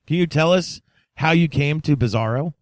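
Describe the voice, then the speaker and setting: A man's voice: fairly high pitch, normal speed, dry recording. Effusive male podcast host, very dry sound. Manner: effusive, showman-like, addresses listeners directly. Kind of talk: enthusiastic podcast introduction and interview.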